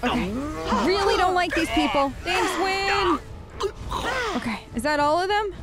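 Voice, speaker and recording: High, animated woman playing games. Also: measured pace, loud music behind the voice. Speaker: gamer doing a role-play let's play. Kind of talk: casual playful gameplay commentary, speaking in character.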